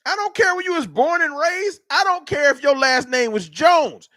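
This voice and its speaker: Heated man, high lively voice. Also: moderate pace, no echo, clean studio sound. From Dallas, Texas. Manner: animated, argumentative, heated ranting. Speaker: sports fan livestream host. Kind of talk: casual fan rant to live chat.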